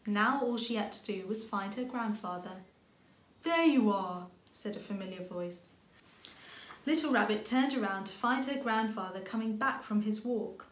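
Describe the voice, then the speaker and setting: A woman's voice: medium pitch, normal speed. A woman in her thirties reading a children's story aloud expressively, indoors at a short distance from the camera. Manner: expressive reading with character lines. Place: indoor room with bookshelves. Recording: roomy home recording.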